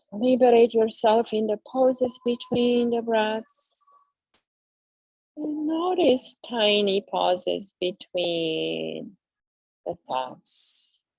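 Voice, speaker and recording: A woman guiding a yoga class with slow, soothing, drawn-out cues. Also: mid-range voice, gravelly, warm tone, dry recording, rough recording. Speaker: yoga instructor. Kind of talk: guided yoga class instruction.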